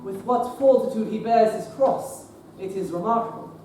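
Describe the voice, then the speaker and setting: A man's voice: sits high, normal speed, warm tone, soft background music, close to an everyday voice. A young man acting an earnest, pious Victorian bishop in a stage play, formal and sermonizing. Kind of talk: teen stage play performance. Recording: echoey stage recording from far off, noisy.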